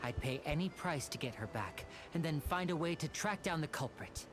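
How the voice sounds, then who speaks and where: A woman playing a calm, polite, thoughtful young man in an anime video game, with ambience behind. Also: deep voice, moderate pace, noisy background. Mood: thoughtful, grave.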